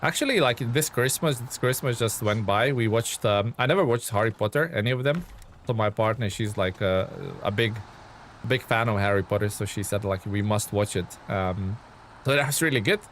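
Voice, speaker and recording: A man's voice: lowish voice, moderate pace, fairly bright tone, a little hiss or hum. A man from Lithuania chatting loosely on a live game stream, reading out and answering viewer comments with plenty of fillers. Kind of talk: casual live-stream chat.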